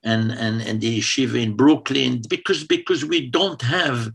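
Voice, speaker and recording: A middle-aged man from Morocco, a cantor, answering interview questions in a halting way with many repeated words and easy laughter. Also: mid-range voice, vocal fry, fairly bright tone, husky. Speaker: cantor, guest.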